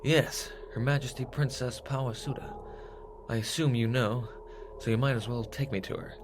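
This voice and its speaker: A man's voice: mid-range voice, fairly even intonation, normal speed, lightly acted. Man acting a jaunty space hero, noisy recording. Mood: cocky. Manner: theatrical old-fashioned heroics. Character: bold, jaunty male space hero captain.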